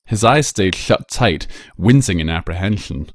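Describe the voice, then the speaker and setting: A man reading a scripted story aloud as narrator, in a measured, dramatic storytelling manner. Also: sits low, animated, no room sound, studio quality. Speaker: narrator, fan fiction reader.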